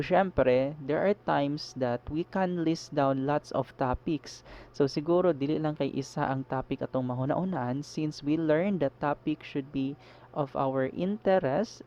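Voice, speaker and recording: A Filipino teacher with a Cebuano accent, talking through his slides with a mellow but fairly high voice. He moves between English and Cebuano and keeps checking in with his listeners. The voice-over sounds dull and lo-fi. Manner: mixes English with Cebuano, explanatory with frequent "no?" checks. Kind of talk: recorded slide lesson.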